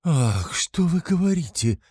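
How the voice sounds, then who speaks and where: A man's voice: lowish voice, moderate pace, dark tone, slightly rough, no room sound, studio quality. A man voicing a timid, anxious middle-aged mother in an audiobook, tearful and easily frightened, pleading and fretful. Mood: pleading, distressed.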